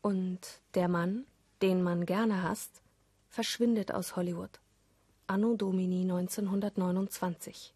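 A woman with a soft, slightly husky voice, telling a scripted radio story in a measured way. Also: on the low side, steady tone, no echo. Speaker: narrator. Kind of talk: scripted radio play narration in German. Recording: dry studio with music underneath. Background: music.

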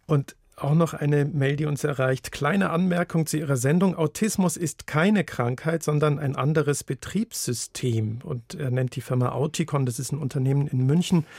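A man's voice: on the high side, darkish tone, dry recording, clean studio sound. A man hosting a phone-in radio show, politely thanking callers and putting questions to his guests. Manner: polite, brisk moderating. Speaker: radio host.

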